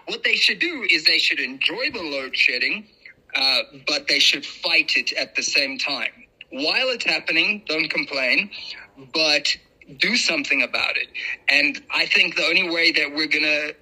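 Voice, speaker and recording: A man's voice: fairly high pitch, bright, forceful tone, husky, poor audio. A man with a South African accent, chatting casually as a guest and explaining things with emphatic repetition. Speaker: podcast guest. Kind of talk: casual conversation.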